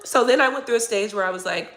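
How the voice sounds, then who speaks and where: A woman in her forties chatting candidly with viewers on a live stream. She swears casually, and she is sick, so she keeps clearing her throat and coughing. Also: mid-range voice, quick pace, a bit bright. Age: 40s. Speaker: tarot reader hosting a live stream. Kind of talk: casual chat to viewers, reading comments.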